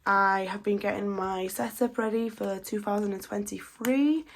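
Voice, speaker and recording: Young woman, chatty vlog, counting money out loud, slightly stuffy nose, gravelly fry, faint background hiss. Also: mid-range voice, moderate pace. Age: 20s. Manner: chatty, steady counting of notes. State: slightly blocked-up nose from a cold. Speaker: budgeting vlogger. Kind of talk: casual vlog, counting cash aloud.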